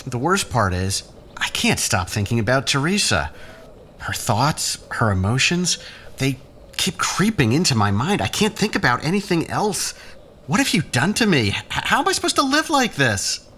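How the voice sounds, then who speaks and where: An actor plays a drained, agitated man in withdrawal, self-pitying and bitter. His pitch swings widely as he stammers and sighs through his pleas, in audio drama style. There is faint noise behind him. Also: sing-song, moderate pace, bright, forceful tone. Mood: anguished.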